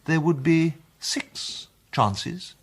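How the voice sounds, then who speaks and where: An actor playing a pompous, self-satisfied English president of a detective club in a radio play, speaking formally and oratorically in an upper-middle-class accent. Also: male voice, fairly high pitch, animated, drawn-out words, a crowd in the background. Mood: relishing, conspiratorial. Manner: formal, oratorical, savouring his phrases. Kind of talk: 1920s-set British radio play acting.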